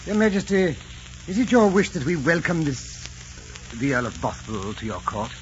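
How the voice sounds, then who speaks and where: High-voiced, haughty courtier. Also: male voice, big pitch swings, moderate pace. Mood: coldly formal. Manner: stiff, formal, affronted. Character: haughty, suspicious, indignant courtier lord. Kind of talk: 1940s radio acting. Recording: hissy, dull 1940s radio recording.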